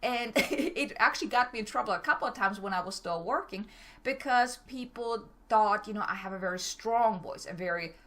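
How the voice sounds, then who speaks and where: A middle-aged woman from Germany with a German accent, giving a motivational talk as a business coach. She talks fast, direct, and stumbles over her words. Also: mid-range voice, fairly bright tone.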